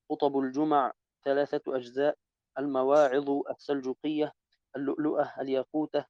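A man reading a classical Arabic text aloud to a teacher in a steady, even recitation, correcting his own slips as he goes. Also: medium pitch, no room sound, muffled recording.

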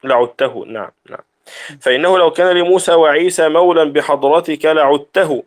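Guy reading an old scholarly book out loud formally, like a student in class, slightly high voice. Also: a little fast. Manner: formal recitation of written text. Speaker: student reading aloud to a religious teacher. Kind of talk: reading a classical Arabic text aloud in an online lesson.

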